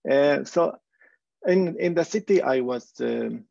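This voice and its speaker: A man from Palestine who works as a clown, speaking on a panel with hesitant pauses and many fillers. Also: on the high side, lively intonation, creaky, dark tone, no room sound, dull audio. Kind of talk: panel talk.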